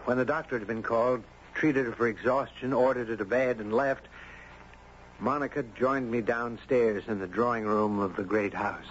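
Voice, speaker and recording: An actor playing a gentle, earnest man who narrates and talks tenderly in a 1970s radio drama. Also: mid-range voice, fairly even intonation, moderate pace, natural voice. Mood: reflective narration. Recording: dull, noisy 1970s radio broadcast.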